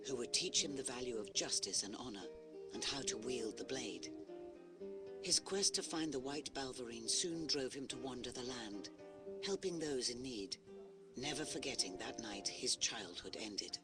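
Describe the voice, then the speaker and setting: A man's voice: mid-range voice, expressive, moderate pace, natural voice. A man as a solemn fantasy storyteller over music. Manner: dramatic, solemn. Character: grave male storyteller of a heroic fantasy legend. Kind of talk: scripted storybook narration. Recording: music behind.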